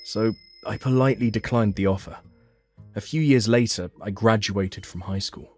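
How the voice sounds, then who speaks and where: A man's voice: on the low side, moderate pace, darkish tone, soft background music, natural voice. A man playing a hardworking eldest brother, narrating his family story in a scripted comic dub. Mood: recounting, matter-of-fact.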